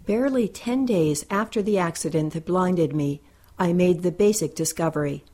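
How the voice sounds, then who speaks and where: Low-voiced woman reading an essay. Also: moderate pace, dark tone. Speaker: reader. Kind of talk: reading a first-person essay aloud.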